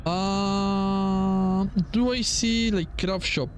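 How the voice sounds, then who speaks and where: A man's voice: sits high, steady tone, drawn-out words, a little hiss or hum. A man giving casual let's play commentary on a video game, muttering reactions and the odd curse as he goes. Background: game music.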